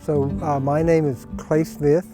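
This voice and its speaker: An older man from North Carolina, an organic vegetable farmer, talking slowly with many pauses in a documentary interview. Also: fairly high pitch, level tone, music in the background. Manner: slow, unhurried, with many pauses and fillers.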